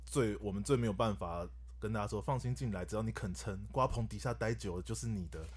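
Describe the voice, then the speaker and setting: A man with a Taiwanese Mandarin accent and a husky voice, chatting casually as a voice-actor guest. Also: lowish voice, fast, a crowd in the background. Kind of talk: casual chat. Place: recording studio.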